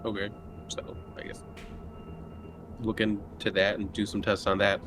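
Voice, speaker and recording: A man's voice: on the low side, little pitch movement, a little slow, music in the background. A man playing a tabletop game, chatting casually and tentatively about his next move.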